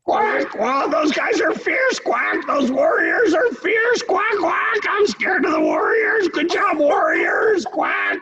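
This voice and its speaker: A performer voicing a silly purple duck mascot who quacks between sentences and shouts cheers in a school awards skit. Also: extremely high pitch, sing-song, takes it slowly, few pauses, heavy background noise, exaggerated voice. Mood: excited cheering.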